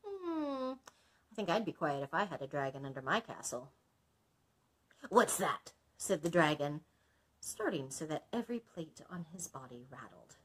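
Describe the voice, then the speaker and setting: A woman's voice: deep voice, sing-song, normal speed, breathy edge. A woman reading a children's story aloud as a librarian, expressively, with small asides to listeners.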